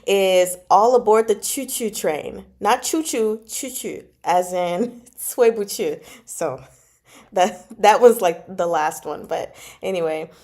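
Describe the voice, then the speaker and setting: Woman, casual livestream host, informal, animated, giggly, mid-pitched voice. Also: slow pace. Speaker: host of a book club channel and amateur audiobook narrator. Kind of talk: casual livestream chat, reading out and answering comments.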